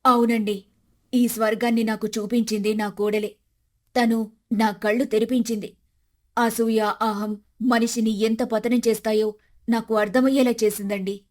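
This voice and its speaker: A woman's voice: a little high, flat delivery, normal speed, pauses often, a little mellow, close to an everyday voice. A woman playing a humbled, loving older mother-in-law in a moral story, speaking earnestly and with emotion. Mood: reflective, tender. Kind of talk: dramatised moral story dialogue.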